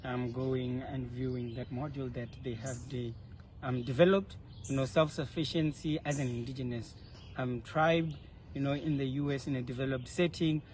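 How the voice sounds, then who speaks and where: A man's voice: mid-range voice, a little mellow. A young man speaking earnestly and articulately, giving reflective answers in an informal interview. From South Africa. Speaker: interviewee, leadership fellow. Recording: faint background noise, ambient.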